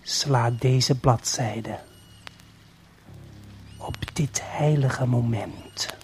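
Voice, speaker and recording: A man playing a commanding, self-important God in an audio play, speaking slowly and theatrically, at times almost whispering. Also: medium pitch, a little mellow. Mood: solemn, commanding. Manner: imperious, theatrical, breaking into whispers. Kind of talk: slow audio play monologue to the listener. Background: faint music.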